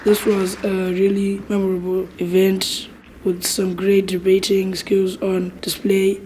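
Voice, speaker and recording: A woman's voice: mid-range voice, completely flat delivery, measured pace, dark tone, slight background noise. A woman presenting scripted school newsreel narration as a news reader.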